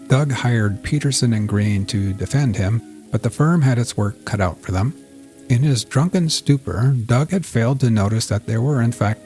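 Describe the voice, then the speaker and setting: A man narrating a scripted story as a fiction podcast host, with a measured storytelling delivery. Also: fairly low pitch, darkish tone.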